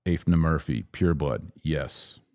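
A man's voice: very deep voice, slow, a little mellow, no echo, studio quality. An American man hosting a casual livestream, chatting informally with playful slang as he answers viewers. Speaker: podcast host.

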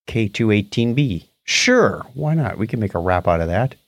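A man's voice: on the low side, very animated, normal speed, no echo. A man hosting a podcast, chatting casually through news items and explaining them in a wry, jokey way. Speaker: podcast host. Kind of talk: casual news chat with banter.

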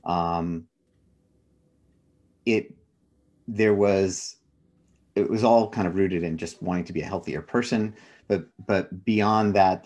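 Deep-voiced man explaining reflectively. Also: a little mellow. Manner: reflective, explains through personal examples. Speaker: interview guest, author. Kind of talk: conversational interview explanation.

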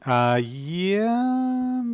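A man's voice: high voice, very animated, very slow. A man co-hosting a podcast, talking casually and conversationally with his partner. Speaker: podcast co-host. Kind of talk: casual conversation about films.

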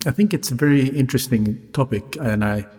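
A man who is a non-native English speaker hosts a solo podcast, articulating carefully and deliberately in a slightly raspy voice. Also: mid-range voice, darkish tone. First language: not English. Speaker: podcast host, hotelier. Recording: reverberant hall-like sound, music behind.

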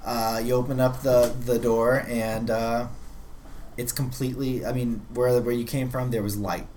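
A man acts as game master for a tabletop roleplaying session. His medium-pitched voice describes scenes off the cuff, halting and rephrasing as he goes. The group recording carries slight background noise. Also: flat delivery, a little mellow. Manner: halting, conversational, often rephrasing mid-sentence.

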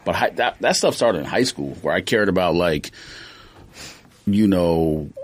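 A man's voice: sits low, expressive, a little slow. A man co-hosting a casual podcast, talking in blunt, argumentative slang. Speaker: podcast co-host. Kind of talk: casual sneaker podcast banter.

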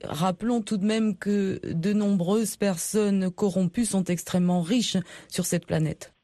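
Mellow-voiced woman interviewer, dry studio sound. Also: medium pitch, steady tone, measured pace, no echo. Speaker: radio interviewer, host. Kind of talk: measured interview questioning.

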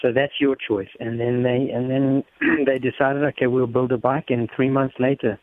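A man's voice: mid-range voice, level tone, moderate pace, no room sound. A South African man telling stories casually as an interview guest, often repeating words as he goes, over a narrow-band line. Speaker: motorcycle race team member and interview guest. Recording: muffled narrow-band phone or radio sound.

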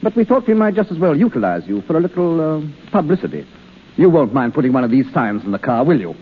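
An actor playing an effusive, fussy, self-pleased company boss in a 1930s radio play. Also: male voice, a little high, sing-song, quick pace, husky. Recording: echoing old radio broadcast, narrow band.

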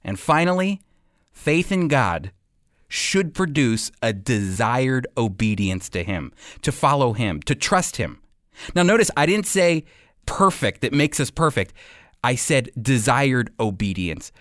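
A man teaching and commenting as a radio preacher and host, speaking emphatically and deliberately with weighty pauses. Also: mid-range voice, very animated, fairly bright tone, no room sound, studio quality.

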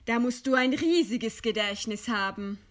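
An actress playing a weary, bitterly ironic woman facing execution, with deliberate dramatic delivery in a German radio play. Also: a little high, natural voice. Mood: ironic, probing.

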